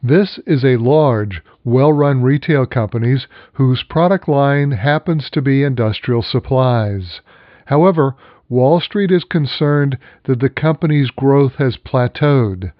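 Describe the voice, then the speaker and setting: A man hosting a financial program, reading scripted news in a measured, formal manner. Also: mid-range voice, dry recording, lo-fi sound.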